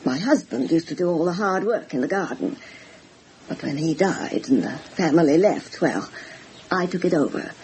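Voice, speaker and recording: An actress playing an older widowed village housekeeper, chatty, plain-spoken and deferential, in a radio drama. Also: female voice, low-pitched, expressive, normal speed, a little mellow, a little hiss or hum. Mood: matter-of-fact.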